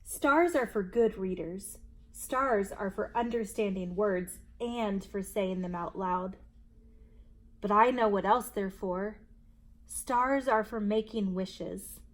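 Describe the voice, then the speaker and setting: A woman hosting a children's story time, reading aloud expressively and deliberately for young listeners. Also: mid-range voice.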